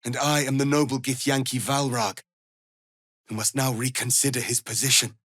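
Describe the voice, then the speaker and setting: An actor playing a proud, stern, noble alien rebel leader in a fantasy video game, speaking formally and with deliberate, solemn authority. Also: male voice, medium pitch, level tone, fairly bright tone, no room sound, clean studio sound, natural voice. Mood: indignant. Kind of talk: dramatic fantasy game acting.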